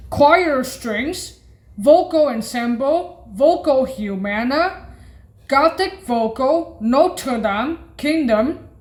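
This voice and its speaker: A woman demonstrating keyboard sounds, naming instrument presets haltingly in short phrases. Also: on the high side. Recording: roomy echo, keyboard music.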